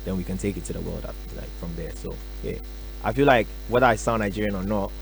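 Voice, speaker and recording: Soft-spoken young man. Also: lowish voice, quick pace, vocal fry, soft background music, low-quality recording. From Ghana. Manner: soft-spoken, relaxed, trailing off with fillers. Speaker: musician guest. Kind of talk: radio interview answers. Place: radio studio.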